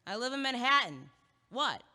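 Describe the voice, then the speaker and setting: Woman acting a earnest logic-obsessed college girl, audio drama, highish animated voice, echoey hall. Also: moderate pace, full character voice. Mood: earnest, wry. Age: young adult. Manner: emphatic, argumentative. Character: young female student, earnest, stubbornly logical, feisty. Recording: echoey hall with long reverb.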